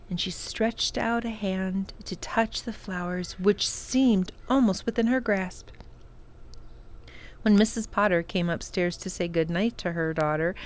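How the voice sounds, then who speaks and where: Lady reading kids chapter book out loud, slow n expressive, storytime style. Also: mid-range voice, slight background noise. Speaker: children's storytime reader, librarian. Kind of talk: reading a children's novel aloud.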